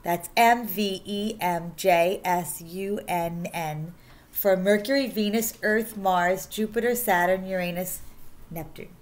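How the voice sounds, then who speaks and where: A woman teacher reading a rhyming children's picture book aloud in an animated, sing-song way, stressing key words. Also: mid-range voice, takes it slowly.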